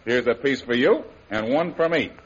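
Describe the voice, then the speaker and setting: An actor playing a steady, good-natured adventurer, plain-spoken and folksy, in 1930s radio serial style. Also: male voice, medium pitch, moderate pace, breathy edge. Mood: curious, pleased. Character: steady, good-natured adventurer hero, male, hopeful captive. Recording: muffled 1930s radio recording.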